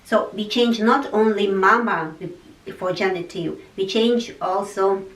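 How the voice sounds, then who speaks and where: Slow, patient woman teacher, echoey room. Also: mid-range voice, fairly even intonation, fairly bright tone, recorded from a distance. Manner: slow, patient explaining with repeated examples. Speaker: language teacher. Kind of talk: language lesson.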